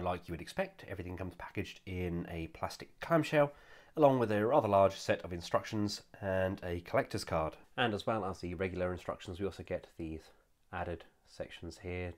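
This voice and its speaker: A man with a nasal voice, recovering from a cold, giving an enthusiastic, chatty toy review with frequent "uh" fillers. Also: sits low. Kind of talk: casual product review.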